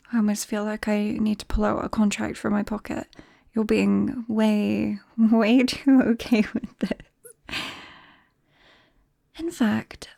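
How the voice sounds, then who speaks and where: A shy, awkward vampire girl played by a woman with a British accent, in an intimate ASMR roleplay. Her voice is soft and mellow with a creaky edge, often sinking into a whisper. She speaks slowly and hesitantly, gentle and bashful with her best friend. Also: medium pitch, dry recording, clean studio sound, exaggerated voice.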